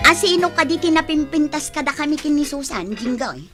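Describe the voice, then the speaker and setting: Very high, quarrelsome village wife. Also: female voice, expressive, brisk, music in the background. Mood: offended. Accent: Ilocano. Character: vain, quarrelsome, easily offended village wife. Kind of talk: Ilocano radio comedy drama acting.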